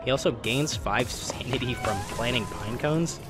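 A man's voice: mid-range voice, moderate pace. A man narrating a game explainer voice-over in an informal, opinionated, emphatic way, with casual asides. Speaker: gaming video narrator. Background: background music.

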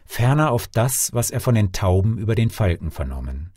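A man reading a fairy tale as an audiobook narrator, with dramatic, expressive delivery. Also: fairly low pitch, moderate pace, husky, no echo, clean studio sound. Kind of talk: scripted storytelling, audiobook reading.

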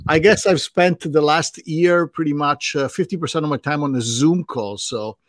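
A middle-aged man with an Italian accent, presenting on a webinar in a chatty, animated way with many fillers and restarts, speaking over a video call from a home office. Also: a little high, moderate pace, no echo, studio quality. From Italy. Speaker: guest doctor presenting a webinar. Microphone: video call with desk mic.

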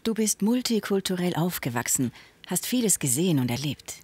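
An interviewer who also narrates, reading a documentary voice-over in a clear, even way. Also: medium pitch, brisk.

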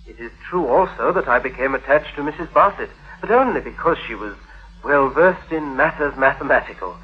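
An actor playing a prim, pedantic gentleman accused of a crime, protesting innocence in a precise, pompous, formal 1950s radio manner. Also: medium pitch, lively intonation, brisk, breathy edge. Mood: earnest, prim. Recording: muffled vintage radio recording.

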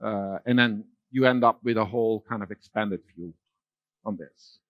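A man's voice: fairly low pitch, moderate pace, no room sound, dull audio. Man giving a casual keynote on stage. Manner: casual, loose phrasing with fillers and restarts. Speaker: conference keynote speaker. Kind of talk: keynote talk with slides. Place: large dark conference stage.

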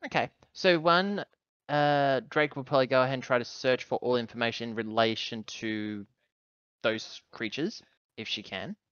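Male player, mid-pitched, casual game talk, working out moves aloud; close, dry, slightly dull audio. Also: fairly even intonation, normal speed, fairly bright tone, clear, resonant tone, no room sound. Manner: describes character's actions, thinking aloud. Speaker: player in a tabletop roleplaying game.

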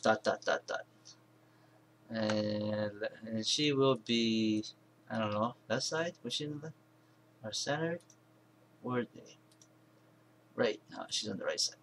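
A man presenting an informal software tutorial, thinking aloud in a halting, rambling way and correcting himself as he goes. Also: lowish voice. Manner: halting, rambling, self-correcting, mumbled asides. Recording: clear background noise, keyboard and mouse clicks.

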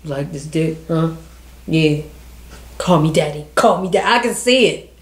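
A woman's voice: sits low, expressive, moderate pace, reverberant. A woman hosting a reaction video, chatting casually and teasing the people she watches. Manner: teasing, playful commentary. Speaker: reaction channel host.

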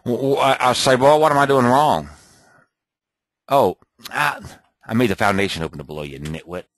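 A man thinking aloud and muttering to himself as he clicks through an off-the-cuff software tutorial at his computer. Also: medium pitch, moderate pace, dry recording. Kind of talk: off-the-cuff screen recording tutorial.

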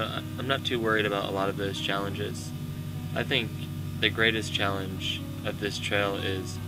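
A young man answering interview questions outdoors by a lake, relaxed and full of "you know" fillers, with guitar music behind. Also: sits low, low-quality recording. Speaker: interviewee, hiker. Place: outdoors by a lake among trees.

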